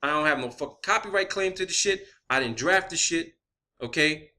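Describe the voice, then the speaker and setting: A man's voice: fairly high pitch, animated, brisk, bright, forceful tone. A man delivering a confrontational, blunt, profanity-heavy rant as an online video creator. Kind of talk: profane spoken rant to camera or viewers.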